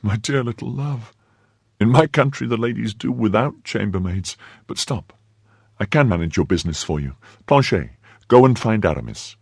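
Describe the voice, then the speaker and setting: A man voicing a gallant young Frenchman in a storybook, confident, coaxing and quick to give orders. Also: lowish voice, lively intonation, vocal fry, a little mellow, breathy edge, dry recording, studio quality, pitched above the performer's own voice, heavily acted. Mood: brisk, light. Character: gallant, brisk young Frenchman, affectionate and commanding.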